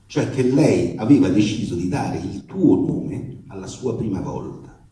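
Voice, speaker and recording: A man playing an anxious, protective, foul-mouthed father in a comic monologue, halting and colloquial but emphatic. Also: fairly low pitch, lively intonation, warm tone. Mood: flustered. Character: anxious, protective, foul-mouthed father addressing his daughter's young boyfriend. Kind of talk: theatrical reading of a comic monologue letter. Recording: echoey room, distant microphone.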